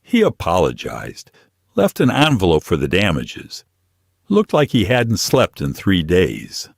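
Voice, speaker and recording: A man's voice: low-pitched, wildly swooping pitch, a little slow, gravelly, slightly rough, no echo, studio quality, exaggerated voice. A man voicing a guarded, independent shop woman in a romance audiobook, wary and plain-spoken. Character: guarded, independent woman running a dry cleaner. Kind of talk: romance audiobook character dialogue.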